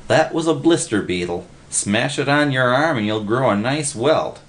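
A man's voice: medium pitch, lively intonation, moderate pace, a bit bright, pitched above the performer's own voice, lightly acted. A man voicing a pompous, bossy know-it-all bum who lectures in wordy, pedantic language in a storybook reading. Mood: lecturing.

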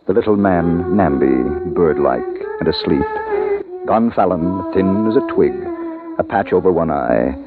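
A man's voice: deep voice, expressive, a little slow. An actor playing a grown man wistfully recalling his boyhood, narrating a 1950s radio drama in literary storytelling style. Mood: nostalgic. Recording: tinny old radio recording, loud music behind. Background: loud music.

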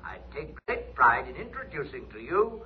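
An actor playing a pompous, formal master of ceremonies announcing a prize in 1950s radio style. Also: male voice, on the high side, sing-song, normal speed. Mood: grand, ceremonious. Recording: dull, noisy old radio broadcast.

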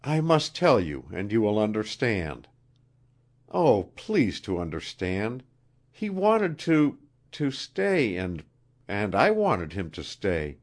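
A man voicing an anxious, earnest young queen in a storybook reading, halting and pleading. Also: lowish voice, huge pitch swings, voice pushed up, heavily acted.

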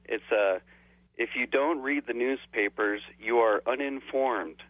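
A man calling in to a radio show, calmly reading out a few quotes. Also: medium pitch, measured pace, no room sound. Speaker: caller. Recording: dull phone-in line.

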